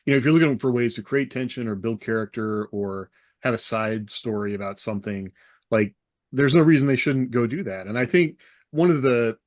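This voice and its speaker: A man's voice: lowish voice, no echo, studio quality. A man who co-hosts a podcast as a military veteran and writer, talking in a casual conversational style.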